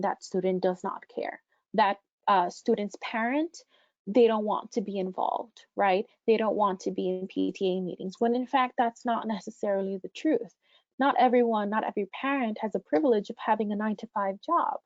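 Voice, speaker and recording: A woman's voice: medium pitch, a little mellow, no echo. A young woman college student whose first language is Spanish, speaking earnestly and emphatically about her experience on a panel over a video call. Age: young adult. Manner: earnest, emphatic, building conviction. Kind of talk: personal testimony on a panel. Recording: muffled dry online audio.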